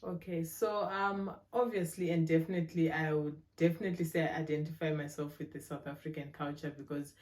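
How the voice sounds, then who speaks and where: A woman in her twenties from Zimbabwe answers interview questions in a low, warm voice. Her pitch stays fairly even, and she talks in a thoughtful, measured way with frequent fillers. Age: 20s. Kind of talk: relaxed conversational interview answers.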